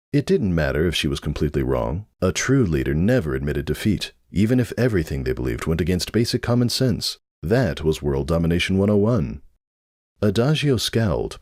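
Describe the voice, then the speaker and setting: A man's voice: low-pitched, darkish tone, dry recording, clean studio sound. A man narrating a story in a scripted, dramatic reading style. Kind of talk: dramatic fan fiction narration.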